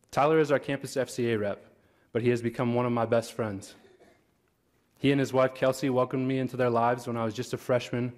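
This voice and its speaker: A man's voice: medium pitch, a little mellow. Young guy giving a graduation speech at a podium, sincere, bit of humor, big hall. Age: young adult. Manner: earnest, lightly joking. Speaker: graduating student speaker. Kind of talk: commencement speech. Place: large auditorium stage at a podium. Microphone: podium microphone.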